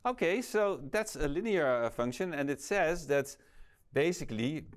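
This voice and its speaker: A man's voice: fairly high pitch, expressive, moderate pace. A man with a Dutch accent, teaching a math lesson as a lecturer who thinks aloud and corrects himself with many fillers. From Netherlands. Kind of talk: explaining at a board.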